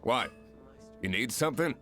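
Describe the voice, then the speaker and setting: An actor playing a gruff, blunt male blacksmith in an anime game, curt in manner, with music behind him. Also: mid-range voice, expressive, normal speed, close to an everyday voice.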